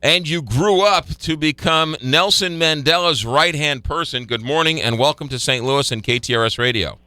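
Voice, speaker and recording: A man's voice: fairly high pitch, normal speed, bright, forceful tone, no room sound. A man hosting a radio interview in a studio, stumbling and restarting his questions. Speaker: radio host.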